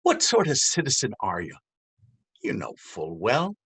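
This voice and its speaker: A man's voice: medium pitch, huge pitch swings, measured pace, a bit bright, slightly rough, heavily acted. An actor playing a bullying, sceptical Dublin policeman in a stage reading, mocking and officious. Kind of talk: stage readers theater acting.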